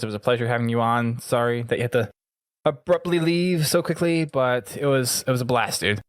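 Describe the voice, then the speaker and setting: A man's voice: medium pitch, dry recording. Casual male podcast host, close dry sound. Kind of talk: casual gaming podcast chat.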